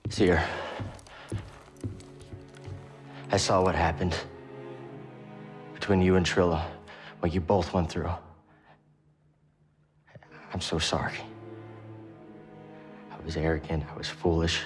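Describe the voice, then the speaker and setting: A man's voice: sits low, level tone, measured pace, long pauses. A young man playing an earnest, determined Jedi in a video game, speaking in an understated, sincere way. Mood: remorseful. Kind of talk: cinematic video game acting. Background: music.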